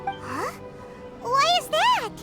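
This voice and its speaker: A woman voicing a tiny, childlike, excitable fairy companion in an animated video game style, with a squeaky voice and music behind. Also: extremely slow, heavily acted. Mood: alarmed, curious. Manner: talks of self in third person, exclamatory.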